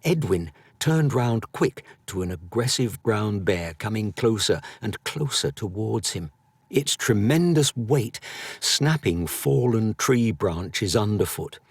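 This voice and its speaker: A man narrating a children's story expressively, with dramatic, drawn-out emphasis. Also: fairly low pitch, expressive, gravelly, husky, no room sound. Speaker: audiobook narrator.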